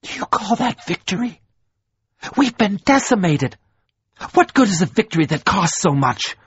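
A young warrior, frantic and enraged, rises into a strained, husky shout as a man reading the book puts him on. His voice climbs high above an ordinary speaking register. The recording is dull and lo-fi. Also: sing-song, unhurried, no room sound, heavily acted. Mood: furious. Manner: strident shouting, pleading. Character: desperate furious young male warrior.

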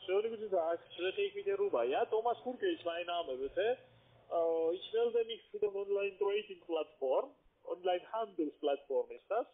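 A man with a foreign accent speaking non-native German in halting phrases, insistently working a sales call as a call-center trader. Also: high voice, expressive. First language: not German. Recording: tinny narrowband phone line.

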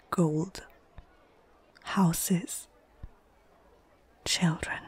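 A woman playing a stern, proud female orc chieftain in a whispered ASMR fantasy roleplay, speaking in short, measured phrases with a husky, breathy voice. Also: on the low side, a little mellow, full character voice. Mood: grave.

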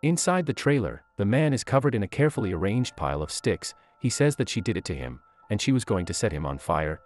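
A man narrating a movie recap in a scripted, steady storytelling manner. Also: fairly low pitch, quick pace, soft background music.

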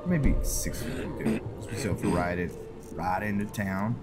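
A man giving casual, low-key video game commentary, thinking aloud as he plays, with music behind him. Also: sing-song, measured pace. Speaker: gameplay host.

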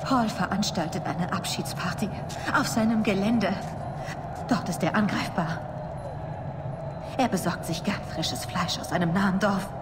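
A woman's voice: mid-range voice, unhurried, pauses often, lightly acted. An actress playing a desperate, commanding woman in an urgent, intense dramatic video game dub. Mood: grave, instructing. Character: desperate, commanding woman pleading for her captive family. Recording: loud ambient game noise behind.

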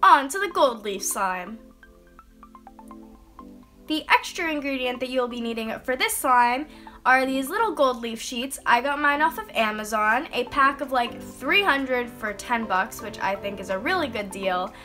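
A woman's voice: fairly high pitch, expressive, measured pace, bright, forceful tone. A young woman getting over a cold, hosting a slime-making tutorial in a bubbly, enthusiastic voice-over, explaining each step. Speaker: slime-making channel host. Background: background music.

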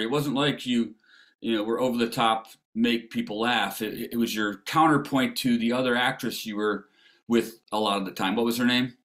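A middle-aged man hosts a Q&A over a video call from a room full of bookshelves. He speaks haltingly, restarting sentences often, with an encouraging tone. His voice sits at an ordinary pitch. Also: fairly bright tone. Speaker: host. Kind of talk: casual Q&A interview on a video call.

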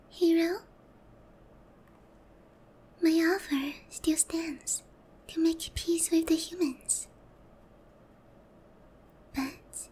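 A woman playing a gentle, remorseful young demon lord in a soft, breathy whispered ASMR roleplay, tender and hesitant. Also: sits high, a little hiss or hum. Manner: slow, tender, hesitant, with long pauses.

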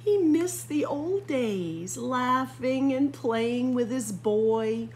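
Slow, high-voiced woman reading a storybook. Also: animated, darkish tone, a little hiss or hum. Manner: dramatic pauses and drawn-out emphasis for young listeners. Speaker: library story-time reader. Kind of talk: expressive read-aloud of a children's picture book. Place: library room with bookshelves.

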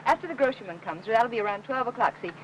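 Actress as a high-voiced 1950s film housewife. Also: female voice, animated, racing, muffled recording, slight background noise, natural voice. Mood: kindly. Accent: American. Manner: gentle, hesitant, polite. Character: anxious, devoted young housewife, polite and gentle. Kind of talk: 1950s Hollywood film acting. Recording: old film soundtrack with music.

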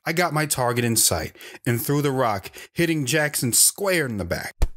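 A man's voice: mid-range voice, expressive, normal speed, a bit bright, slight background noise, lightly acted. A man playing a wanderer recounting his hard life in first-person audio drama narration. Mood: reflective.

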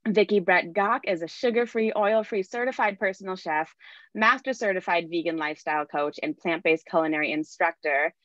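A woman's voice: lowish voice, no echo. A young woman, a nonprofit's outreach manager, reading a scripted event introduction on a video call. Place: indoor room, video call. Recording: dull lo-fi video call audio.

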